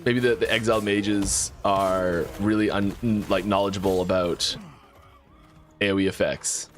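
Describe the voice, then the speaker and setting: Male game developer, very low voice, rambly, over faint music. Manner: rambling, many restarts. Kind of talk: casual dev stream talk.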